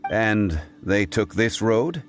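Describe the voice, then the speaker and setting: An actor playing a composed, learned gentleman in a video game, speaking formally and deliberately. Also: lowish voice, lively intonation. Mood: inquiring. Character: composed, learned gentleman investigator, calm and measured.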